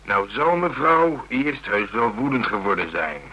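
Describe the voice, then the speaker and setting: An actor playing a gruff, sardonic, dogged police inspector in a radio drama, speaking bluntly and wryly. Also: male voice, mid-range voice, big pitch swings, unhurried, sharp tone, dull audio, exaggerated voice. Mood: wry, speculating.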